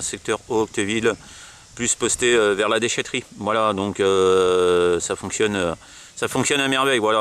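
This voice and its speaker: A man's voice: fairly low pitch, a bit bright, slight background noise. A man from France with a French accent, chatting casually and hesitantly as a radio operator presenting his gear, often repeating himself. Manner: hesitant, repetitive. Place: outdoors at a roadside.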